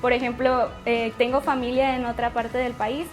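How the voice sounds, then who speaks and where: A young woman with a Sonoran Mexican accent, a university student, telling a story in a lively way. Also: fairly high pitch, moderate pace, faint music behind. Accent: Sonoran Mexican Spanish. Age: young adult.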